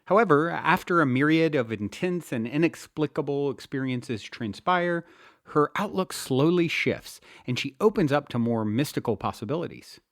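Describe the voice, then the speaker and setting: Expressive man reading spiritual self-help. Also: a little high, moderate pace, no room sound, studio quality. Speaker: podcast host and author reading own book. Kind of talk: spiritual self-help audiobook narration with casual podcast introduction.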